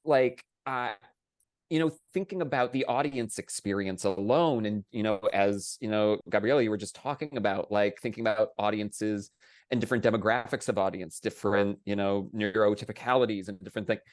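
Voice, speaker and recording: Lower-voiced man, dry sound, discursive panelist. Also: a little fast, no echo. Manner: discursive, hedging, restates his points. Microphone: video call.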